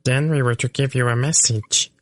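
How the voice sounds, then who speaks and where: A man's voice: medium pitch, fairly even intonation, normal speed, no echo, clean studio sound, pitched above the performer's own voice, close to an everyday voice. A man doing a piping male fenling creature. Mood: solemn. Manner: piping, chittering, formal phrasing. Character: small furry male fenling, earnest, solemn. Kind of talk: audiobook character voice.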